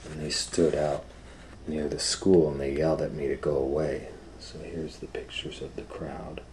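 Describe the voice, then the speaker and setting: A man reading a children's book aloud as a storytime educator, in simple, deliberate phrases with explanatory asides. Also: rumbling low, level tone, darkish tone, slight background noise.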